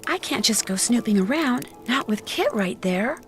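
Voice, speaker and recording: A woman's voice: on the high side, expressive, moderate pace, a little hiss or hum, lightly acted. An actress playing a plucky, curious young woman detective in a video game, matter-of-fact and spirited. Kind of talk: video game character dialogue.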